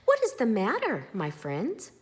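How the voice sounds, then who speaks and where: Woman as a high, sweet little blue engine. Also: huge pitch swings, a little slow. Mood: kind, modest. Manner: gentle, modest. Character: very little blue female engine, kind and modest.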